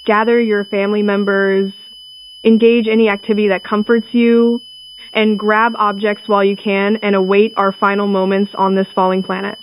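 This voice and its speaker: A woman playing a government representative reading a formal, solemn final emergency broadcast in a deliberate way. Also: medium pitch, level tone, natural voice. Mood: solemn farewell. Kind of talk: scripted emergency broadcast announcement. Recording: noisy, humming radio-like recording.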